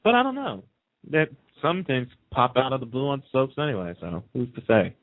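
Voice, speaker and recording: A man's voice: mid-range voice, huge pitch swings, normal speed, dry recording. A man hosting a talk show, chatting casually in an enthusiastic, opinionated, gossipy way. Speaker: radio talk show host. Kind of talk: casual chat about TV soaps. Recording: narrow tinny phone-line sound.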